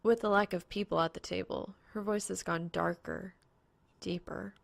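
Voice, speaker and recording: A woman's voice: lowish voice, gravelly, no room sound. A woman reading fan fiction aloud as a scripted story narrator. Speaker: podfic narrator.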